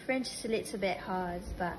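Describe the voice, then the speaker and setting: A woman's voice: medium pitch, moderate pace, crowd noise. A young woman chatting casually and playfully to a phone camera in a living room, joking as she introduces herself. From Philippines-born, grew up in America. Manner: joking, playful put-on accents. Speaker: guest niece introducing herself on her aunt's vlog. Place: living room indoors, on a sofa. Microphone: phone a short distance away. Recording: clearly noisy home phone recording.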